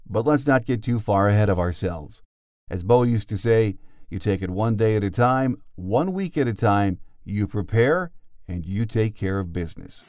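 A man with a fairly low voice hosts a sports podcast. He reads a prepared fan monologue, recorded dry and close. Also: darkish tone, no echo. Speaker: podcast host.

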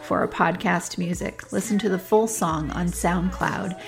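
A woman hosting a podcast interview, conversational and affirming, steering the talk with brisk wrap-ups. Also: on the low side, fairly even intonation, faint music behind. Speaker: podcast host.

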